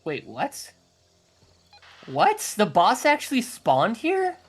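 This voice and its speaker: Excitable swearing gamer man, lively swinging pitch, headset mic. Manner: excitable, profane, quick to exclaim. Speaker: gaming teammate. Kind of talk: casual gaming chat.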